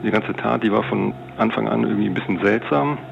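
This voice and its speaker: A man's voice: lowish voice, fast talker, gravelly, noisy background. A middle-aged man recalling events as an investigator in an unscripted interview, rephrasing himself as he goes. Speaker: police investigator recounting a case. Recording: dull lo-fi sound, music underneath. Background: music bed.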